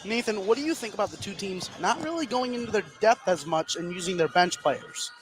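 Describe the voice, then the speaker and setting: A man's voice: high-pitched, expressive, brisk, music in the background. A man hosting live sports commentary on a radio broadcast. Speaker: play-by-play host.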